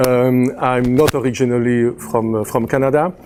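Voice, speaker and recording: A man's voice: medium pitch, fairly even intonation, moderate pace, darkish tone. A man in his fifties with a Belgian French accent, a chemistry professor giving a conference presentation, hesitant with many fillers and restarts and a dry sense of humor. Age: 50s.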